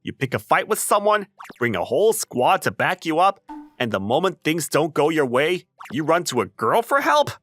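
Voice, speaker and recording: Man playing a deadpan, sardonic high school boy. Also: normal speed, no echo, soft background music. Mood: scolding. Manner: deadpan, dry, mocking. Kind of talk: anime-style comic dub acting.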